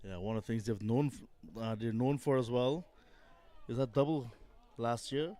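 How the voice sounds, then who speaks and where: Brief: male cricket commentator, lively play-by-play with chat, average pitch. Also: moderate pace. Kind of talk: live cricket commentary and interview.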